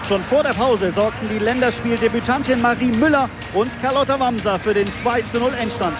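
A man's voice: extremely high pitch, brisk, music in the background, crowd noise. A man reading an upbeat scripted sports report.

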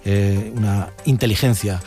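A man hosting a midday radio talk show, introducing topics and guests in a brisk announcing way. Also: lowish voice, steady tone, warm tone, faint music behind. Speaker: radio host.

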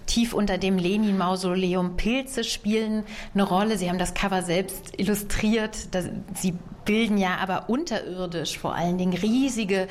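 A woman interviewer asking a novelist prepared questions in a fluent, measured way. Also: mid-range voice.

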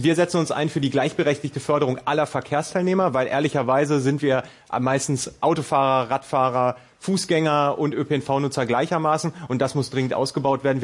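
Fast-talking man making a political pitch. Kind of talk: debate statement.

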